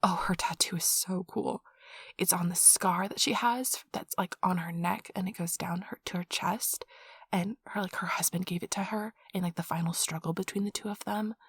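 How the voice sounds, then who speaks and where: Soft husky nerdy girlfriend, acted by a woman, intimate ASMR. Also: on the low side, fairly even intonation, normal speed, no room sound, studio quality. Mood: gushing, excited. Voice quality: soft, breathy, slightly husky. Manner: gushing, casual, giggly, chatty. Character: nerdy affectionate girlfriend, excitedly rambling about tabletop gaming. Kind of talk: soft-spoken ASMR roleplay, intimate rambling. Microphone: close microphone, dry.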